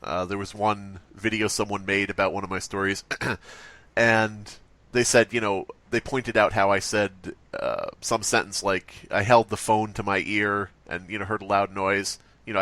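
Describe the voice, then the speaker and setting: A man's voice: fairly low pitch, a bit bright, no room sound. A man co-hosting a horror story podcast, chatting casually and laughing as he reads stories aloud. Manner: laughs a lot, trails off mid-sentence. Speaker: podcast co-host. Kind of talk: casual chat and story reading.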